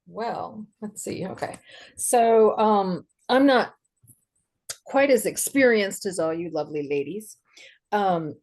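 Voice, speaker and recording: A woman introducing herself hesitantly on a video call, soft-spoken, with many pauses and fillers. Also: medium pitch. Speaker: event attendee.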